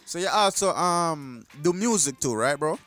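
A man's voice: fairly high pitch, lively intonation, moderate pace, fairly bright tone, faint music behind. A man hosting a casual interview, talking loosely in slang with plenty of fillers. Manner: loose slangy talk, laughs easily, hesitant fillers. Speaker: host.

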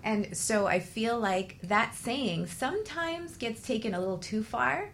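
A woman's voice: a little high, expressive, a little slow, a bit bright, slight background noise. A woman hosting a conversational talk show, explaining things reflectively and often checking in with the listener.

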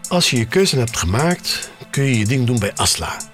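A man narrating an audio drama in the first person, in a measured, expressive way with pauses between short phrases. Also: mid-range voice, faint music behind.

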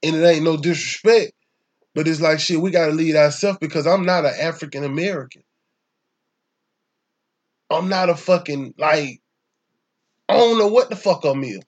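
A young man with a Southern Atlanta accent speaks in a voice pitched a bit above the usual male range. He talks in loose, rambling casual conversation, swearing freely and hammering his points with emphasis. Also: normal speed, long pauses. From Atlanta, Georgia, US. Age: young adult.

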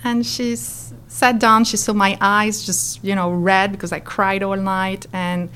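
A woman's voice: medium pitch, measured pace, clean tone. A middle-aged woman from France with a French accent, animatedly telling her story as an interview guest. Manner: animated, expressive, acts out quotes.

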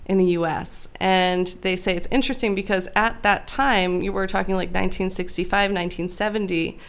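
A woman being interviewed, explaining history in a measured, lecturing way, often checking in with "right?". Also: mid-range voice, fairly even intonation, clean tone. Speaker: guest expert on immigration history.

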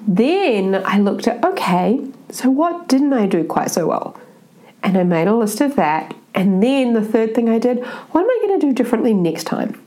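Woman with a stuffy, blocked-up voice, mid-pitched, giving a sincere motivational talk. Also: warm tone. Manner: earnest, keeps adding "right?". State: congested, blocked-up nose. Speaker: self-help speaker.

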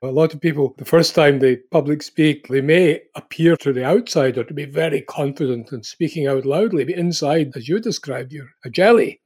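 Husky, fairly high-voiced man. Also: moderate pace, few pauses, darkish tone. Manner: summarizing, encouraging. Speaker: podcast host. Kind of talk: interview questions.

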